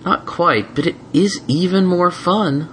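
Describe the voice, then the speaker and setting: A man's voice: high-pitched, a little slow, fairly bright tone, slight background noise, voice pushed up. A man voicing a prim, coaxing female unicorn guardian in a fan fiction story, sweet but firmly insistent. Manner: coaxing, silken, primly insistent. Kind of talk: fan fiction audiobook character voice.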